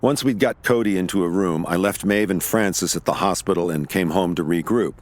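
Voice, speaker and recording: An actor playing a calm, level-headed investigator who also narrates an audio drama, with a measured delivery. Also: male voice, low-pitched, dry recording, natural voice. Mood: narrating, reflective.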